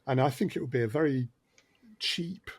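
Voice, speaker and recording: A man's voice: medium pitch, normal speed, no room sound. A man who makes audio drama, talking as a podcast guest in casual chat, thoughtful and wry, with hesitant restarts.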